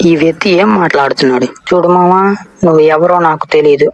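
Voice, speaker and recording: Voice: medium pitch, fast talker, darkish tone, lo-fi sound, a little hiss or hum, lightly acted. A performer voicing a proud, suspicious, hot-headed teenage boy ninja in an anime fan dub, brusque and challenging.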